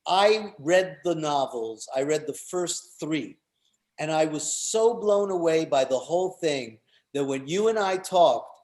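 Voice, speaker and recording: A man's voice: a little high, lively intonation, a little slow, a little mellow. A man hosting a live festival event, chatting casually as he introduces things. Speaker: festival host and performer.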